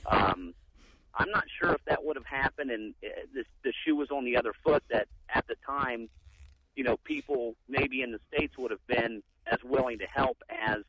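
Halting male radio caller. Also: medium pitch, a bit bright, dull audio, slight background noise. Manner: halting, many fillers. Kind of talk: call-in radio talk.

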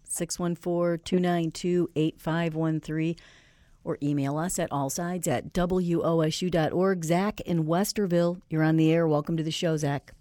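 A woman hosting a call-in radio show, brisk as she welcomes callers and reads out contact details. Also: low voice, fairly even intonation, mellow, no echo, studio quality. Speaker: radio talk show host.

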